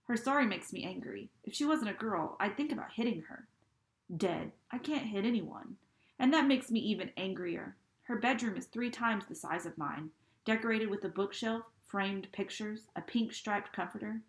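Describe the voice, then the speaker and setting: A woman reading a first-person novel aloud, with expressive, dramatic delivery. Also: medium pitch, moderate pace, echoey room. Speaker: reader.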